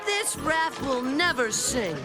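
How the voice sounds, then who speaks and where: A woman's voice: high voice, big pitch swings, takes it slowly, full character voice. A woman voicing a cocky, stubbornly optimistic little boy in a children's cartoon, boastful and slangy. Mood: stubbornly upbeat. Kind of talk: animated children's cartoon voice acting. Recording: music behind the voice.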